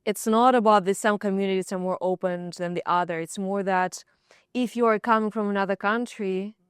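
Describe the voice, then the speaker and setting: A woman with a Ukrainian accent, a filmmaker and actor speaking on a roundtable panel, thoughtful and pausing to search for words. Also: mid-range voice, steady tone, clear, resonant tone, no echo, studio quality. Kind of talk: roundtable discussion.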